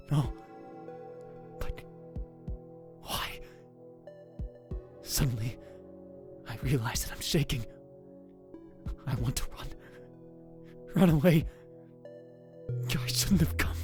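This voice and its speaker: A man voicing a young male student shaken by a murder, narrating a visual novel in a tense first-person inner monologue that often drops to a whisper. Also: mid-range voice. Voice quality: husky. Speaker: narrator and lead voice in a fan dub.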